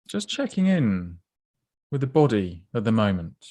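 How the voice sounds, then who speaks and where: A man leading a guided body scan meditation in a gentle, unhurried, instructive way, leaving pauses between phrases. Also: on the low side, very animated.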